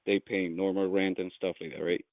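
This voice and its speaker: A man's voice: low voice, little pitch movement, brisk, no echo. Young man on a tinny phone call. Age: 20s. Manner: friendly, hesitant, filler-heavy. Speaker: real estate wholesaler cold calling. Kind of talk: casual phone conversation.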